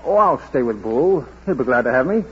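An actor in a vintage radio drama playing a proud, bossy but tender street washer who speaks emphatically. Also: male voice, medium pitch, lively intonation, fairly quick. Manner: emphatic, declamatory, commanding. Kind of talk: 1940s radio drama acting. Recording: husky, dull old radio drama recording.

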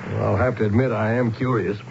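A man's voice: lowish voice, fairly even intonation, quick pace, creaky, a little mellow. An actor playing a bemused out-of-town man in a radio mystery, speaking in a hesitant, questioning way. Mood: curious, doubtful. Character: bemused male out-of-towner recounting a strange mystery. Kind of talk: radio drama acting with first-person narration. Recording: muffled, noisy old radio broadcast.

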